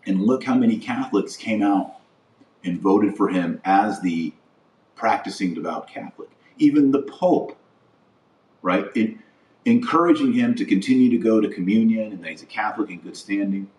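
Man, American accent, low pitch, earnest commentary, emphatic repeated phrases, roomy and distant recording. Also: moderate pace, darkish tone. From United States. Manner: emphatic, repeats words and builds lists for emphasis. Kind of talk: earnest political-religious monologue to viewers. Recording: roomy echo, distant from the mic.

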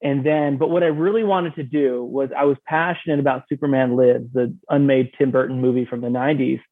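A man's voice: mid-range voice, steady tone, a little fast, dry recording. A man telling his story casually as an interview guest, acting out his own thoughts as he goes. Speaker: podcast guest, audio drama creator. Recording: thin, narrow phone-like sound.

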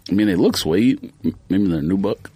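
An American man chats as a podcast co-host, informal and offhand with some teasing. His intonation is lively and varied, and the sound is dry, with very little room echo. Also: deep voice, normal speed, breathy edge. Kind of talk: casual chat reviewing sneaker releases.

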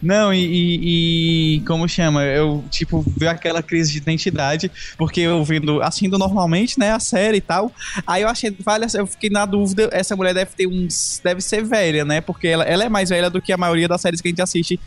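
A man's voice: high voice, steady tone, fairly quick. A man in his twenties, a podcast co-host, telling a rambling story in casual chat and correcting himself as he goes. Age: 20s.

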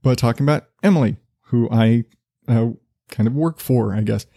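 A man with a relatively low voice hosting his own podcast, rambling casually with a loose, profane, self-deprecating manner and frequent restarts. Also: moderate pace, gravelly, warm tone, no echo, clean studio sound. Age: not a millennial. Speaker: podcast host.

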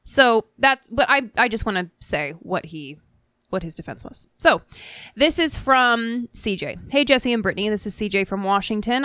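A woman co-hosting a podcast, reading listener messages aloud in a measured, articulate manner. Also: mid-range voice, a bit bright, clean tone, no room sound, studio quality. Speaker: podcast co-host.

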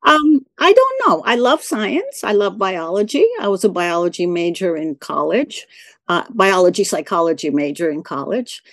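Older woman, halting friendly talk. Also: medium pitch, big pitch swings, fairly bright tone, dry recording, clean studio sound. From Venezuela. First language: Spanish. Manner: hesitant, many fillers and restarts, laughs easily. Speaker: interviewee. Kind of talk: conversational oral-history interview.

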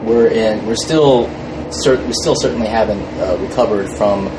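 A man's voice: lowish voice, normal speed. A man chatting casually as an interview guest, hesitant, often repeating words and trailing off mid-phrase. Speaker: podcast guest, fan film crew member. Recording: noisy room with steady background hum.

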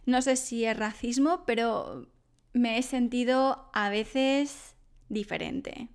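Woman from Spain, Spanish accent, lively chatty storyteller, fairly high pitch. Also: slow pace. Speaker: vlogger living abroad. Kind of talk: casual chat to camera.

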